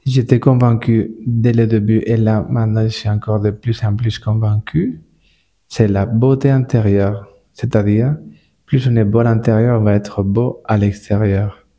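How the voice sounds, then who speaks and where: A man with a Spanish-speaking accent, a spa manager speaking French as a guest on a casual podcast, halting and full of repeated words and restarts. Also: on the low side, dark tone.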